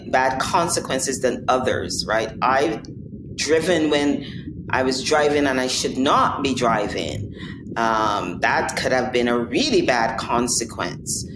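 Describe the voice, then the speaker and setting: A woman's voice: rumbling low, echoey room, noisy background. A woman from Barbados answering interview questions earnestly, often restarting and checking in with "right?". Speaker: non-alcoholic bar owner.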